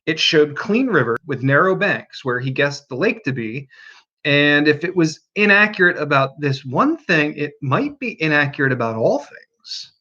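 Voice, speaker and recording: A man reading a novel aloud as an expressive narrator, with deliberate, dramatic pauses and emphasis. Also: fairly high pitch, highs cut off.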